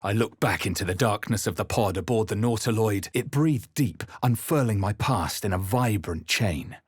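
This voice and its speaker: Deep, brooding fantasy narrator. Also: male voice, slightly rough, no room sound, clean studio sound. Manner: solemn, deliberate, literary. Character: brooding male adventurer narrating his own dark memories. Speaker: video game narrator in first person. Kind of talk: dramatic scripted fantasy narration.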